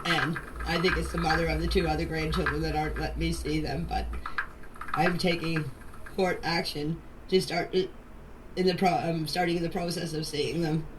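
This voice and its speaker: A woman's voice: low-pitched, fairly even intonation, normal speed. An older woman making an emotional personal statement in voice messages, plain-spoken, pleading and insistent. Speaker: grandmother answering questions in a group voice chat. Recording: distant, noisy amateur voice-message recording.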